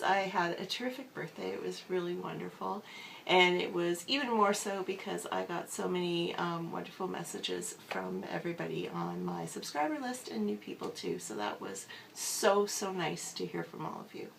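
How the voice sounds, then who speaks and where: A woman vlogger with a bad cold, her voice hoarse and gravelly and dropping into whispers, chatting upbeat with many fillers. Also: mid-range voice, fairly bright tone, slight background noise, poor audio. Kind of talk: casual chat to camera.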